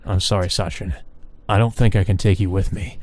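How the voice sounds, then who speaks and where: A man's voice: low voice, fairly even intonation, a little fast, darkish tone, no room sound. A man reading visual novel dialogue as a volatile, desperate male former soldier. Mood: sorrowful.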